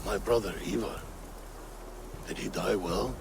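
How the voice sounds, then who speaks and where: An actor playing a weary, ailing, grieving Viking king in a video game, proud and brooding, with a gravelly voice and halting, solemn delivery. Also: fairly low pitch. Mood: wounded, brooding. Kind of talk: dramatic game cutscene acting. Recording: game ambience noise behind the voice.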